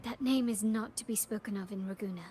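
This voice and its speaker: A woman's voice: mid-range voice, steady tone, fast talker, lightly acted. A woman playing a refined, cordial young lady in a fantasy video game, speaking in a polite, articulate, genteel way. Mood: hushed, explanatory. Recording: game dialogue over background music.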